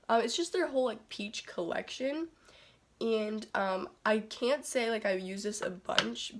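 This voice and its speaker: A teenage girl chatting casually to her phone camera in a beauty vlog, her voice raspy and congested from a cold. She rambles with lots of "like" and "um". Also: medium pitch. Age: teens. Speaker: beauty vlogger.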